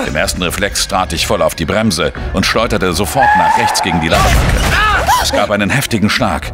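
Actor as deep, world-weary investigator narrating. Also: male voice, natural voice. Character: world-weary male investigator narrating his own crime case. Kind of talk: German crime audio drama narration.

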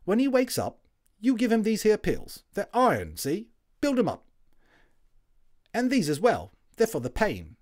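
A man's voice: high-pitched, wildly swooping pitch, measured pace, long pauses, pitched above the performer's own voice, exaggerated voice. A man voicing a talkative Cockney First World War army medic in a storybook reading. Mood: brisk. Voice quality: chatty, rough-and-ready working-class speech.

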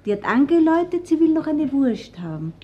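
High-voiced, flustered Austrian shop woman. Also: big pitch swings, fairly quick, mellow, highs cut off. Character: flustered, defensive female Viennese shop worker. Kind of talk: naturalistic radio crime drama acting.

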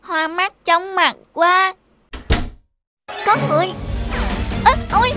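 A woman's voice: extremely high pitch, slow pace, music in the background, pitched above the performer's own voice. A woman voicing a greedy, lazy little male cartoon mouse who exclaims with self-satisfaction. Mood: hungry, dizzy.

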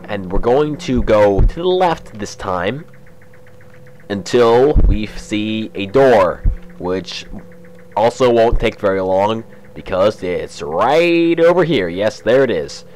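Young male let's-play host, lower voice, bit raspy from a cold, relaxed chatty narration, faint hiss behind. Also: slow pace. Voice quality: slightly raspy. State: has a cold. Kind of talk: casual gameplay commentary.

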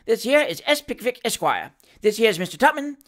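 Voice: medium pitch, sing-song, brisk, fairly bright tone, pitched above the performer's own voice. A storybook reader voicing a cheeky, glib male manservant with a Cockney accent and a familiar manner. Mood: breezy, familiar.

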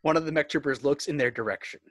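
A man's voice: fairly high pitch, quick pace, fairly bright tone, no echo. A man reading screenplay stage directions as the narrator of a script table read.

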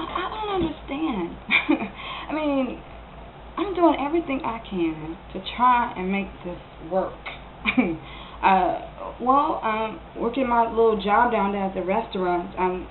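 A woman playing a hurt, fed-up young working mother in a stage monologue, with a halting, pleading delivery. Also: mid-range voice, slight background noise, pitched below the performer's own voice, close to an everyday voice. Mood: weary, hurt. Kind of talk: dramatic stage monologue, naturalistic. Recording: tinny band-limited audio, roomy echo.

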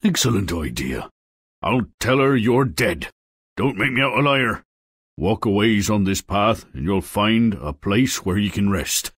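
Gruff, low huntsman. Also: animated, unhurried, a bit bright, no echo, clean studio sound, full character voice. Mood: grim. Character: stern, reluctant royal huntsman. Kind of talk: fairy-tale game acting.

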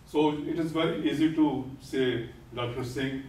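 An older man giving a classroom lecture, explaining terms slowly and deliberately and repeating key words, standing at a podium. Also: mid-range voice, fairly even intonation, a little mellow, slight background noise. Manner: gestures while speaking at a podium. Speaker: lecturer on Sikhism. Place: classroom with whiteboard. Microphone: far camera. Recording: echoey classroom from far off.

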